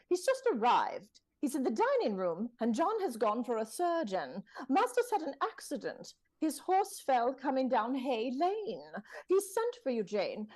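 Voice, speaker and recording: An actress playing a dutiful, fussing housekeeper with an urgent manner in a vintage-style radio drama. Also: female voice, high voice, darkish tone, full character voice. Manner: urgent, newsy, deferential to her master.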